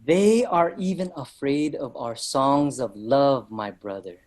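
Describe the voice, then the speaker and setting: A man's voice: mid-range voice, slow, darkish tone, close to an everyday voice. An actor, a man, playing an earnest, determined young Filipino migrant laborer who narrates a staged drama. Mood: solemn. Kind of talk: dramatic staged reading, first-person narration. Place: virtual live event, remote reading.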